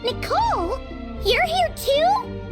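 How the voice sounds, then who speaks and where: A woman voicing a small, bubbly, easily indignant fairy companion in a video game, with an excitable childlike manner. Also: very high-pitched, slow, faint music behind, heavily acted. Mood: curious. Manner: excitable, speaks of self in third person.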